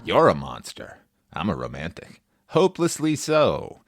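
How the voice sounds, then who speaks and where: A man's voice: sits low, huge pitch swings, unhurried, heavy background noise. A man hosting a movie podcast in a playful, theatrical scripted monologue. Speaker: podcast host.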